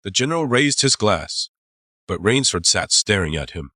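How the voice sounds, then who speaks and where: A man narrating a dramatized audiobook as a storyteller, measured and suspenseful. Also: on the low side, very animated, pushed and bright, no echo, studio quality.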